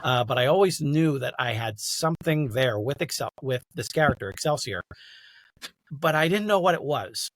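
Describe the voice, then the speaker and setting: A man's voice: medium pitch, lively intonation, normal speed, dry recording. A middle-aged American man from New York City, speaking conversationally as a podcast guest and audiobook narrator. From New York City, United States. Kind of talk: conversational interview.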